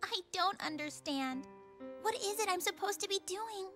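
A woman's voice: very high-pitched, lively intonation, normal speed, a bit bright. A young woman playing a headstrong, proud warrior princess in a video game, spirited and forthright. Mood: pensive. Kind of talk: dubbed video game cutscene acting. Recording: game soundtrack music behind.

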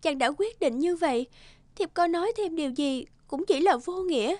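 A gentle, solemn fairy wife. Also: female voice, very high voice, expressive, moderate pace, no echo, studio quality. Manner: soft, formal and archaic. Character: gentle young fairy wife, grave and devoted. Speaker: voice actor. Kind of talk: cartoon dubbing.

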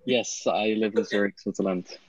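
A man's voice: on the low side, steady tone, normal speed, darkish tone, slightly rough, dry recording. Young man, dry lo-fi call audio. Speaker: guest, developer. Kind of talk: casual video call chat. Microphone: video call.

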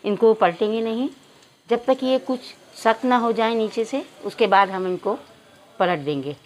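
Calm woman host giving cooking steps. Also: fairly high pitch, normal speed, rough recording. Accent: Urdu. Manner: calm, instructive, addresses viewers as nazreen. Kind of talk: step-by-step cooking instructions. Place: home kitchen.

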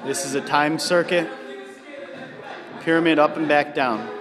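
A man's voice: fairly high pitch, a little slow, a crowd in the background. A man coaching in a gym, counting reps aloud and encouraging his client, recorded on a camera mic. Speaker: personal trainer. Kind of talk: coaching and commentary. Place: gym studio, indoors. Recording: camera audio in a large echoing gym with music.

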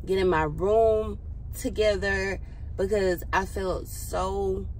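A woman's voice: medium pitch, slow pace, low-quality recording. Chatty woman vlogging in her car. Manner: chatty, animated, laughs often, talks to herself. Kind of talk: casual car chat to camera. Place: parked car, inside. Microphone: phone camera on dashboard. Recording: car cabin with outside noise.

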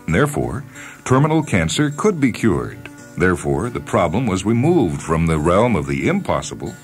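Really low voiced guy reading a story dramatically, wry and deliberate, pitch swings around, music behind. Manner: deliberate, wry, theatrical phrasing with pauses. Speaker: radio short story reader. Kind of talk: dramatic scripted story narration over music. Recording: music bed under narration. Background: background music with guitar.

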